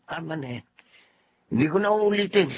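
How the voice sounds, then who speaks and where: A man's voice: on the high side, animated, normal speed, slightly rough. A man with a Filipino accent playing a small, plucky, caring father in a radio drama. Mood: defensive, mild. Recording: dull, band-limited radio drama sound.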